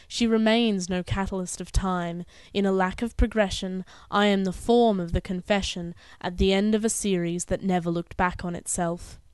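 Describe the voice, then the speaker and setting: A young woman reciting spoken-word poetry in an earnest, measured and dramatic way, with deliberate phrasing. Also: mid-range voice, smooth, dry recording. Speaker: poet.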